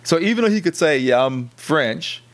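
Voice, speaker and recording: A man's voice: a little high, lively intonation, normal speed. A middle-aged man co-hosting a podcast, talking casually with many stammered restarts. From United States. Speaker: podcast co-host. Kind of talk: casual discussion.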